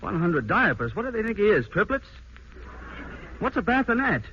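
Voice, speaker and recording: A man's voice: high voice, big pitch swings, normal speed. An actor with an American accent playing a blunt, grumbling young husband and reluctant new father in an old-time radio drama. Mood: baffled. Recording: muffled, noisy old radio broadcast.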